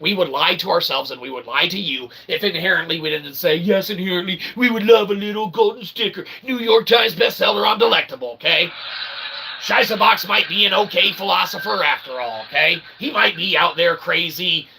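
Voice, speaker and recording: A man's voice: sits high, fairly quick, vocal fry, husky. A man delivering a rambling, opinionated monologue as an author and channel host, wordy and emphatic, with sweary pseudo-philosophical phrasing. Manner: verbose pseudo-philosophical phrasing, sweary, repeatedly checking "okay?"; emphatic, bursts into shouting.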